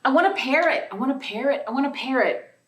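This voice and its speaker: A woman's voice: sits high, animated, quick pace, distant mic, pitched above the performer's own voice. Woman putting on a pleading little girl. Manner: whiny repeating chant. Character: little girl begging for pets. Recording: roomy sound from away from the mic.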